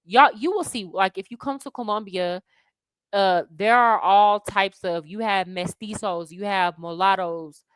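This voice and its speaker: A young American woman hosting a casual livestream, chatty and slangy, answering viewers' questions with animated asides. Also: medium pitch, dry recording, rough recording. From United States. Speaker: livestream host answering chat. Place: home abroad.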